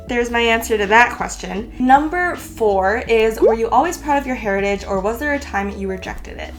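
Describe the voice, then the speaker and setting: A young woman from California talks through a vlog in a casual, chatty way, filling her sentences with "like." Her voice sits at a middling pitch for a woman. Soft music plays faintly behind her. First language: Cantonese. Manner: chatty, filler-heavy, lots of "like". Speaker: YouTuber. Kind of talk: casual chat answering tag questions.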